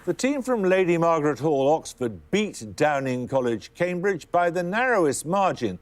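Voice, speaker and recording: Crisp, formal quiz host man. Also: on the high side, sing-song, moderate pace, a faint crowd, a little hiss or hum.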